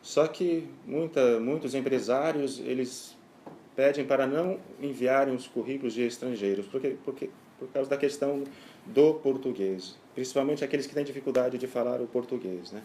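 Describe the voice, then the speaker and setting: A man explains a situation in plain, somewhat repetitive words. His voice is mellow and dark. Faint background noise sits behind him. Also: mid-range voice, moderate pace. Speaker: interviewee. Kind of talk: explanatory interview.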